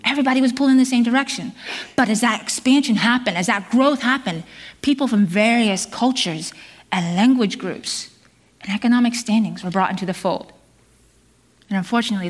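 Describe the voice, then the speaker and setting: A middle-aged woman born in South Korea, preaching a sermon to a congregation in an earnest, measured way, with some fillers and restarts. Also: mid-range voice.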